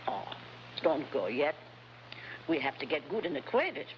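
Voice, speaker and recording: A woman's voice: low-pitched, sing-song, a little slow. A woman playing a flirtatious, carefree informant, coaxing and playful in broken English, in 1950s-style television drama. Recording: dull, hissy old TV soundtrack.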